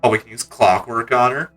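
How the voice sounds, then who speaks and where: Casting: male streamer, casual gameplay commentary, bright forward voice over faint game music. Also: medium pitch, moderate pace.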